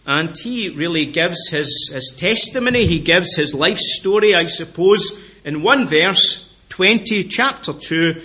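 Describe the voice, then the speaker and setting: A middle-aged man from Scotland with a Scottish accent, preaching a sermon in an earnest way, repeating phrases and slowing down to stress key words. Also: on the high side, expressive, bright, forceful tone.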